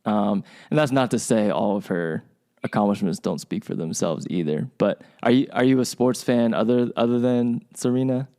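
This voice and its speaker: Male podcast co-host, mellow low voice, loose conversational delivery. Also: moderate pace. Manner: casual, rambling, many restarts. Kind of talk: podcast interview.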